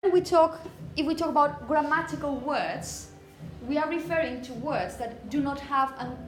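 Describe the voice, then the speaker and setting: Higher-voiced woman teaching in an echoey room. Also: normal speed. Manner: explanatory, demonstrates words with emphasis, frequent check-in questions. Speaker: phonetics teacher. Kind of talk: classroom lecture to students. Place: classroom, indoors. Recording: echoey room, background noise.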